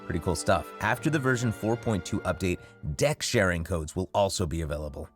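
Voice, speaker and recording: A man's voice: sits low, lively intonation, brisk, over music. A man co-hosting a game update show in an upbeat, semi-scripted presenting style.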